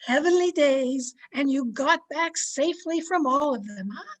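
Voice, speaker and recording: A woman playing a patient, folksy, gently chiding wife in an old-time radio comedy. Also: high-pitched, unhurried. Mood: kindly, amazed. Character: patient, sensible wife gently correcting her blustering husband.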